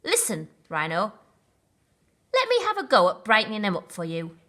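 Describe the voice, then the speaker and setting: Woman as a cheerful baboon, children's story, bright tone, swooping pitch, eager and helpful. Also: wildly swooping pitch, normal speed. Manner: eager, encouraging. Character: cheerful, helpful baboon, a loyal old friend.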